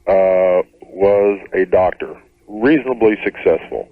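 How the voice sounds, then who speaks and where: A man calling a radio show, explaining his situation carefully in halting, measured phrases. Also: low voice, no echo. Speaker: caller to radio advice show. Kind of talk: hesitant, careful explanation over the phone. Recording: narrow tinny phone line to radio.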